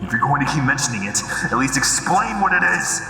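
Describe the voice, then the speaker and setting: A bewildered but defiant sergeant, played by a man in a sci-fi audio drama. He talks bluntly with a lively, swinging pitch. Long reverb surrounds him, with music underneath. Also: brisk, vocal fry. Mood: confused, defiant. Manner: blunt, demanding, questioning. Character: bewildered, defiant male military sergeant in a strange domain.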